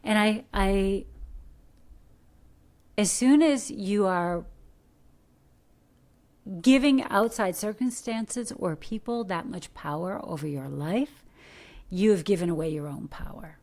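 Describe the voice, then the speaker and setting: A middle-aged American woman from Detroit, an actor giving earnest, coaching advice in a podcast interview, animated with rhetorical questions. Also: medium pitch, slow, long pauses. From Detroit, USA. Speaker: guest, actor. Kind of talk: podcast interview, motivational talk.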